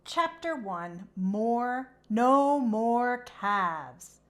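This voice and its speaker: A woman who hosts children's read-alouds, narrating a storybook expressively in a measured, animated way. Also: fairly high pitch.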